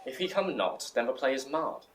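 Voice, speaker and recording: A man's voice: medium pitch, expressive, a little fast, lightly acted, rough recording. A man playing a plain, fussy, lamenting craftsman from an amateur acting troupe, speaking earnestly in a Shakespearean audio drama. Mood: dismayed. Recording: faint background ambience noise.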